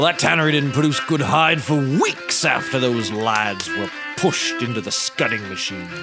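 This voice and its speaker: An actor as a pompous British gentleman detective. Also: mid-range voice, natural voice. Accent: British English. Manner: formal, verbose, genteel. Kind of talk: scripted comedy acting, storytelling.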